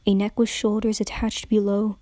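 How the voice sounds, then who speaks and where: A woman's voice: mid-range voice, little pitch movement, moderate pace, dark tone, dry recording, clean studio sound. A woman giving a brooding, dreamlike dramatic reading of a story as narrator.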